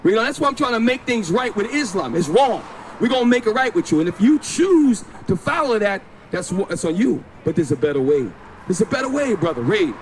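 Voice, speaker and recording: A man's voice: high voice, sing-song, brisk. A man preaching outdoors on a city street, insistently explaining scripture and telling a companion to read. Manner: insistent, instructs a reader to read. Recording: loud street traffic behind. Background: passing vehicles.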